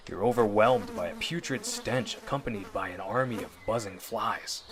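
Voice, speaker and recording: A man giving a dramatic, deliberate, suspenseful narration of a scripted horror story in the manner of old radio drama, addressing the listener directly. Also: low-pitched.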